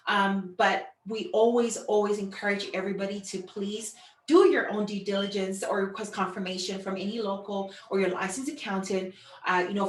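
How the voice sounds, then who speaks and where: A woman from Samoa giving an informal online business presentation with personal storytelling, in a halting, repetitive way full of "you know". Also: mid-range voice. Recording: echoey room, distant microphone.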